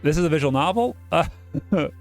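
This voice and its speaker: A man hosting a game playthrough, chatting casually in a halting way and reading text aloud. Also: fairly high pitch, animated, faint music behind.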